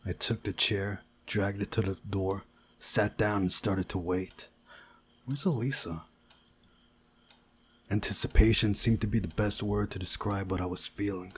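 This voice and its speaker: A man's voice: lowish voice, steady tone, measured pace, a little hiss or hum. A man reading a visual novel aloud as a playthrough host, with casual asides.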